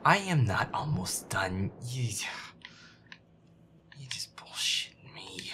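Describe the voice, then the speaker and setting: A man playing a feisty, grumbling werewolf boyfriend, muttering sweary, exasperated commentary over a scary video game in a breathy, gravelly voice. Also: fairly low pitch, sing-song, takes it slowly, background noise. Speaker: gameplay performer. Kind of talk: hushed in-character gameplay commentary.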